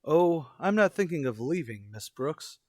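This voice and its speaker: Man playing awkward, literal-minded suitor, slightly high-voiced. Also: big pitch swings, unhurried, darkish tone, no echo, studio quality. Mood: earnest, oblivious. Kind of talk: old-time radio sitcom acting.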